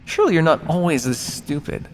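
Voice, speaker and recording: A man voicing an ancient, smug and menacing vampire captor in an ASMR roleplay, taunting and patronising with deliberate theatrical pauses. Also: fairly high pitch, huge pitch swings. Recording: long reverb, noisy background.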